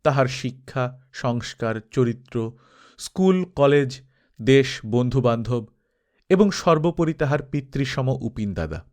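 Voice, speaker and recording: Male narrator with a medium pitch. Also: animated, unhurried, darkish tone. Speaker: audiobook narrator. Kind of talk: scripted literary narration, dramatic storytelling.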